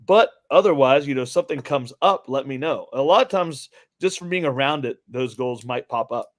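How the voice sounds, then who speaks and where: A man speaks as a podcast co-host in a voice of middling pitch. His delivery is conversational and rambling, looping through what he said to people as he tells it. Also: moderate pace. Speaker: podcast co-host, fitness coach. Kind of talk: casual conversational storytelling.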